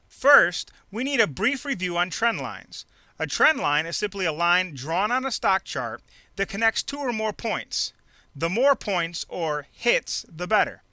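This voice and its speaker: A man reading a scripted instructional narration in a clearly enunciated, measured, teacherly way. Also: sits high, sharp tone, dry recording. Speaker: narrator of an instructional trading video. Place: close dry voice-over recording.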